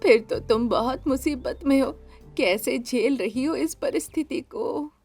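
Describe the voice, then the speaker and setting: A woman voicing a humble, kindly beggar in a dramatised story, soft-spoken and imploring, with music behind. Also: sits high, unhurried, slightly rough, heavily acted. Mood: concerned. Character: gentle, humble beggar, fatherly and devout. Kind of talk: dramatised Hindi audio story.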